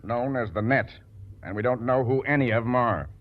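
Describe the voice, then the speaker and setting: Guy as a gruff earnest spy boss, mid voice, urgent, old lo-fi 1940s radio drama. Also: mid-range voice, moderate pace, natural voice. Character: gruff, earnest male intelligence chief. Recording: dull, lo-fi 1940s radio recording.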